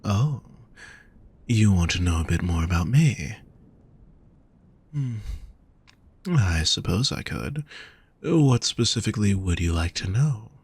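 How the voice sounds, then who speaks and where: A man playing a gentle, protective demon lover in an intimate roleplay audio, soft, husky and reassuring, speaking straight to the listener. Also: lowish voice, sing-song, exaggerated voice. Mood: wry, relaxed. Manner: soft, reassuring, unhurried coaxing. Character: gentle, teasing, protective male demon lover at a fantasy brothel.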